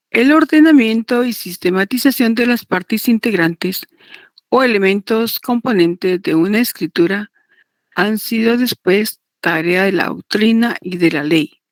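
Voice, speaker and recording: Slow, creaky-voiced woman lecturing. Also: medium pitch, no room sound. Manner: deliberate, repetitive, checks understanding with tag questions. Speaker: law lecturer teaching notarial law. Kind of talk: didactic lecture, partly read aloud.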